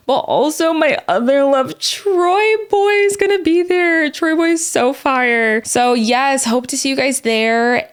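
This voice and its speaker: A woman's voice: on the high side, clear, resonant tone. Female podcast host, bubbly and slangy, voice half gone from illness. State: losing her voice. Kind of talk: casual podcast intro.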